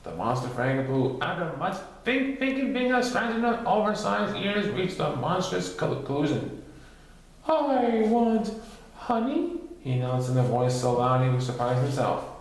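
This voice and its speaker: A man's voice: medium pitch, lively intonation, normal speed. A man narrating a children's storybook expressively, recorded in a very echoey room. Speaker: storybook reader.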